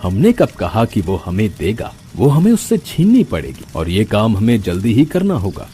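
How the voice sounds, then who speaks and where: A man voicing a wise old holy man who speaks slowly in a fatherly tone. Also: wildly swooping pitch, warm tone, a little hiss or hum. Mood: grave. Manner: slow, deep, fatherly. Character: wise older male holy man, calm and knowing.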